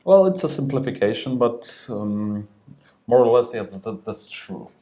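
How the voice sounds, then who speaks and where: A man from Silesia whose first language is Silesian, a novelist speaking on a festival panel in careful English with many pauses and restarts. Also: mid-range voice, lively intonation, dark tone. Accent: Silesian-accented Polish. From Silesia, Poland. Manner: hesitant, many fillers and restarts. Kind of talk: panel discussion. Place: festival stage.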